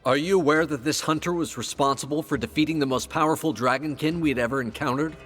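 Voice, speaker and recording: A man's voice: on the high side, fast, a bit bright, soft background music. An actor playing a loyal, earnest male hunter in a fantasy game, speaking firmly and insistently. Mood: indignant. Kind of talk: dramatic fantasy game acting.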